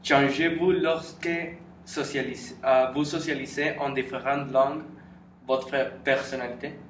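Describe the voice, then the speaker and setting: A man interviewing a guest, reading his questions slowly and formally. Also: medium pitch, steady tone. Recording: echoey room, distant mic, faint noise.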